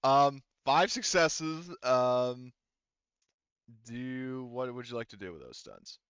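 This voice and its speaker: A man's voice: mid-range voice, lively intonation, takes it slowly, fairly bright tone, smooth, no echo, dull audio. A man running a tabletop roleplaying session as game master, casually explaining rules in a hesitant, thinking-aloud way.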